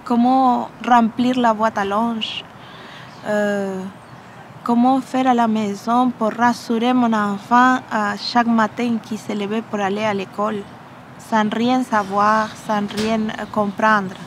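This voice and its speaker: A woman, an immigrant mother, speaking hesitantly in a documentary interview and pausing to find her words. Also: fairly high pitch, steady tone, a little hiss or hum.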